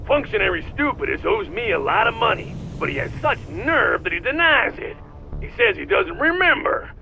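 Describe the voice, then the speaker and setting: A man voicing a bossy, vindictive robot cop in a video game, barking orders in a gravelly voice. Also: sits high, big pitch swings, moderate pace, heavily acted, poor audio. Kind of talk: scripted game dialogue. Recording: loud engine and machinery noise behind.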